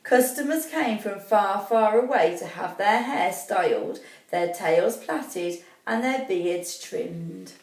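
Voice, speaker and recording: A woman reading a children's story aloud expressively and deliberately, as a school storyteller. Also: a little high, a little mellow. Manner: deliberate, prompts young listeners with questions. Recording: echoey room, distant microphone.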